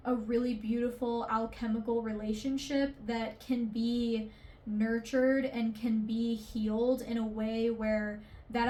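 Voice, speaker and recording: Woman, 20s, confessional vlogger; unhurried, rambling, emotional delivery, slightly high. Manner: rambling, emotional, swears casually, many fillers. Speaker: artist vlogger. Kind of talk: candid confessional vlog.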